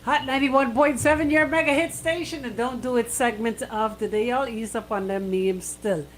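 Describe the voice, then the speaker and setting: A woman's voice: fairly high pitch, steady tone, normal speed, a little hiss or hum, rough recording. A woman hosting a radio show in casual, colloquial banter, often speaking in short interjections. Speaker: radio host.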